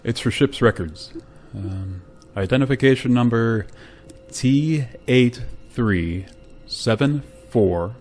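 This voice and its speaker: A man playing a grave, reluctant spaceship pilot in a radio drama, speaking in a solemn, halting way. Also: medium pitch, a little mellow, a little hiss or hum. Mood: grave, official. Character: grave, reluctant male spaceship pilot bearing terrible news. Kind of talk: radio drama acting with narration.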